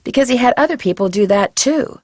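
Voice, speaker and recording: An actress playing a polite, inquisitive young amateur detective in a video game, asking tactful, probing questions. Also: female voice, fairly high pitch, lively intonation, fairly quick, darkish tone, no echo.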